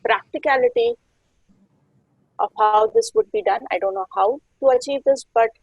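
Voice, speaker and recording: Woman, panelist, animated, sharp pitch leaps, gravelly, dry close sound. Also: normal speed, no room sound, dull audio. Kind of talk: panel discussion.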